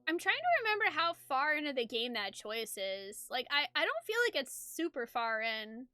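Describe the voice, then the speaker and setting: Female game streamer and narrator; bright, swooping delivery, playful and expressive. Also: sits high, normal speed, dry recording. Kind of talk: live stream chat mixed with expressive story narration.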